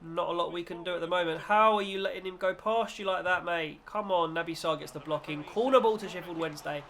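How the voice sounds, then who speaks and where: A man's voice: high voice, fast, gravelly, a bit bright, a little hiss or hum. A man hosting a gaming video with casual, chatty football commentary in informal British slang, slightly nasal from a cold.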